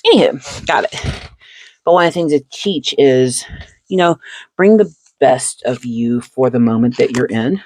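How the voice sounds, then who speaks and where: Husky-voiced woman chatting casually. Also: a bass voice, darkish tone, dry recording. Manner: chatty and reflective, laughs often between thoughts; seated, talks while handling cards. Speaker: channel host. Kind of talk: casual chat while sleeving cards.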